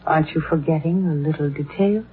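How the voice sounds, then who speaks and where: A woman's voice: low voice, a little fast, close to an everyday voice. A woman acts a married man's mistress, coy and cool-headed. The delivery is theatrical, in the manner of 1940s radio drama. The sound is thin and tinny with faint noise. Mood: incredulous. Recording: tinny, noisy old radio broadcast.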